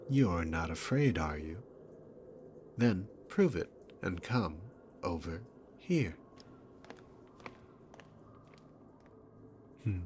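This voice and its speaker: A man's voice: sits low, a little hiss or hum. A man playing a smug, sarcastic, dominant demon king villain in an ASMR roleplay, mocking and condescending as he addresses the listener. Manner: mocking, condescending, unhurried, punctuated by chuckles.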